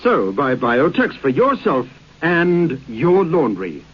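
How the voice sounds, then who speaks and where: A man reads an old radio commercial, persuasive and earnest, his voice a little husky and his delivery lively. The recording sounds dull and dated. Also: fairly high pitch, slow pace. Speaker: advertising announcer. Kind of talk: scripted radio advert reading.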